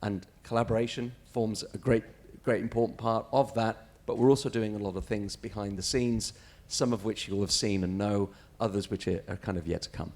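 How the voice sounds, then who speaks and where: A man with an Australian accent speaking on a conference panel, answering in a measured corporate way with small restarts. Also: fairly low pitch, steady tone, creaky, darkish tone. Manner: measured, corporate, frequent self-corrections.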